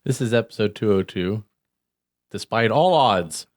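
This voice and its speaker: A man's voice: on the low side, animated, unhurried. A man hosting a comedy podcast in wry, playful casual chat. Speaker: podcast host.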